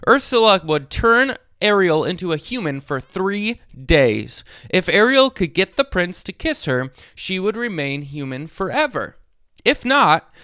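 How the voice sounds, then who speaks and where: A man tells a story for young children in a high, clear voice. His delivery swings widely in pitch and stays expressive, as if talking straight to little kids. The sound is dry and close. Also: dry recording. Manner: expressive, addresses young listeners directly. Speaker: storyteller, host of a read-along channel. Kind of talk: animated children's read-aloud with short asides.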